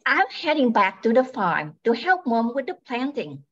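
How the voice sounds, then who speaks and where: A woman's voice: on the high side, big pitch swings, normal speed, breathy edge. A woman playing a sensible, scolding farm-raised twin sister in an amateur radio mystery, on a muffled recording. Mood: exasperated. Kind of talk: amateur old-time radio comedy-mystery acting. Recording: dull, muffled sound.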